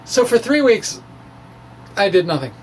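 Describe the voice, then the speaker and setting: A man's voice: high voice, sing-song, a little slow, a little hiss or hum. A man telling his own science story from a blog in a conversational, hesitant way, with wry, self-deprecating humor. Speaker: amateur physicist and blogger. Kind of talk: dramatic reading of a personal science blog.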